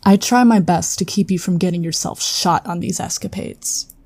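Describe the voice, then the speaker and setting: An actress playing a loyal, protective guardian in a sci-fi audio drama, frank and teasing, like a close friend. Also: female voice, lowish voice, moderate pace, a little mellow, dry recording. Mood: exasperated concern.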